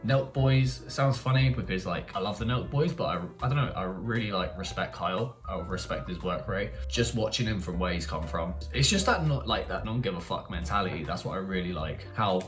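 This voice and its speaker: A young man with a low-pitched voice and a London-leaning English accent chats casually to camera. He rambles in a candid, informal way, like a YouTuber answering personal questions. Guitar music plays behind him. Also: animated, fairly quick, hardly any pauses. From Marbella, Spain. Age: young adult. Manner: informal, candid, rambling with frequent "like" and "you know".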